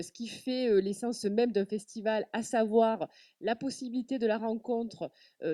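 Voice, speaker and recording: French woman with a halting, filler-heavy delivery. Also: mid-range voice, dry recording, clean studio sound, recorded from a distance. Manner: many fillers and repeated words, animated. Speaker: festival representative on a panel. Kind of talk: panel talk.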